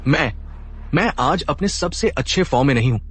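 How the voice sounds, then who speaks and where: A man's voice: on the high side, lively intonation, moderate pace, fairly bright tone. An actor playing an arrogant young man caught as a cheat, defensive and evasive in a dubbed drama. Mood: flustered. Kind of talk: Hindi dub of a short drama. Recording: faint noise behind.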